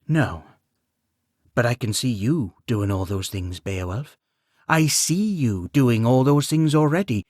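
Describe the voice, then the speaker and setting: A man voicing a grieving, shrewd and kindly widowed queen, speaking with gentle but firm persuasion. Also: mid-range voice, expressive, a little slow, no echo, clean studio sound. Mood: persuasive.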